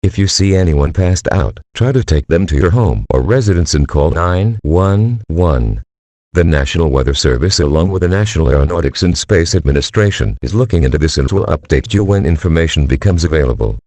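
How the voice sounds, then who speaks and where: A man's voice: rumbling low, expressive, brisk, darkish tone, no echo. A male voice playing an emergency alert system announcer, reading a scripted warning in a formal, mechanical, solemn manner. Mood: impersonal, solemn.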